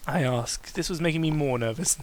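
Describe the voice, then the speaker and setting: A man's voice: on the high side, a little fast, noisy background. A man giving a dramatic reading of fan fiction, breaking off for mocking, exasperated asides. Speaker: reader commenting on fan fiction.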